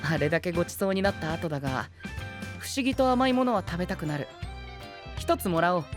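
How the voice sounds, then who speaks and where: A composed young gentleman knight in an anime drama. His speech is formal and princely yet melodically varied, over faint music. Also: high-pitched, lively intonation, brisk. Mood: composed, interested. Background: faint background music.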